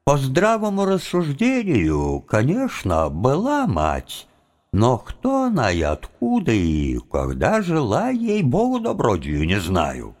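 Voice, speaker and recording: An actor playing a wily, evasive young philosopher student in a theatrical audio drama, with a folksy, deferential, drawling delivery. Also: male voice, medium pitch, huge pitch swings, slow pace, darkish tone. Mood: evasive, respectful.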